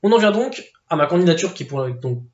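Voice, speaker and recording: A man's voice: a little high, big pitch swings, fairly quick. A man giving his casual opinions, hesitant, with many fillers and restarts. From Portuguese family background. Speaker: football video commentator. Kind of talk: casual spoken opinion piece. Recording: echoey room, dull lo-fi sound.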